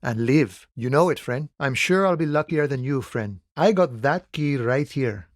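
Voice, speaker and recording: A man acting as a laid-back union dockworker from a video game, with a mid-pitched voice in a very dry recording. He talks in an unhurried, familiar way, wry and philosophical, friendly without ever pushing. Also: animated, no room sound, lightly acted. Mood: relaxed, wry. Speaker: video game character voice actor.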